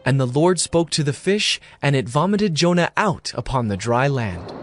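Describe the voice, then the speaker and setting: A man narrating a scripture audio drama in an expressive storytelling manner. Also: mid-range voice, moderate pace, over music.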